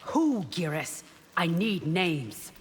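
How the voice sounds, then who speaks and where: Low-voiced, determined bodyguard warrior. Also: female voice, big pitch swings, slow. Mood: determined, urgent. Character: devoted, determined female royal bodyguard warrior. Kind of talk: video game character acting. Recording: clear background noise.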